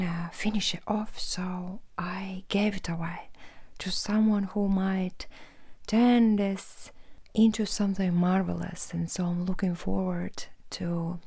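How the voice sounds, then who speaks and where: A woman in her thirties speaking in a hushed, halting whisper as an ASMR host. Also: medium pitch, vocal fry, breathy edge. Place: indoor room at home with brick wall.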